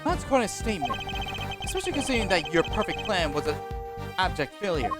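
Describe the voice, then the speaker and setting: A streamer voicing a composed, sharp male prosecutor in a fan dub of a story game, measured and formal. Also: medium pitch, very animated, over loud music, close to an everyday voice. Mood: cool.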